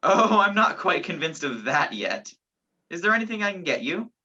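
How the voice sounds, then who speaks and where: A young woman playing a sceptical, gallant gentleman in an amateur radio play, with a theatrical, incredulous manner. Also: on the low side, sing-song, moderate pace, breathy edge, exaggerated voice. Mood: reassuring. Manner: incredulous, theatrical, old-fashioned phrasing. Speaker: student actor in a school radio play. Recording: dull lo-fi home recording.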